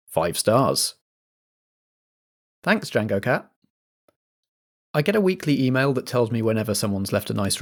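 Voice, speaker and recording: A man with a medium-pitched voice speaks as a podcast compere. He delivers announcements in chatty, theatrical patter, addressing listeners directly. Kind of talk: friendly programme announcements read to listeners.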